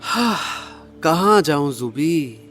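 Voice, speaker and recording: A man's voice: a little high, expressive, slow, a bit bright, soft background music. A man playing a persuasive, devoted young man on the run in an audio drama, earnest and coaxing. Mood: teasing. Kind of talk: Hindi audio drama acting.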